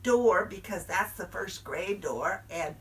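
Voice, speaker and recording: A woman's voice: medium pitch, animated, takes it slowly, distant mic. A woman storyteller voicing a chatty little first-grade girl who confides in her listeners. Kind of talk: performed storytelling.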